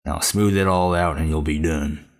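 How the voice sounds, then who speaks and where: A man acts out a fatherly older man for an audio drama. The voice is extremely low and flat in pitch. He is measured, gentle and approving in manner. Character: kindly older man, encouraging father figure. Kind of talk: fan audio drama acting.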